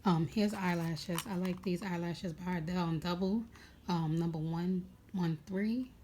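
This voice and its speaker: A young woman with a raspy voice chatting casually at home, rambling with many fillers as she goes through her makeup bag. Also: on the low side, fairly even intonation, measured pace, darkish tone, a little hiss or hum. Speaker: beauty vlogger.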